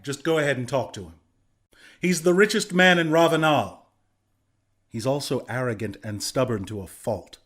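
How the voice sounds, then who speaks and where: A man's voice: medium pitch, fairly bright tone, close to an everyday voice. A young man playing an earnest, plainspoken griffin rebel and former soldier in a video game. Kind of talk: video game character dialogue.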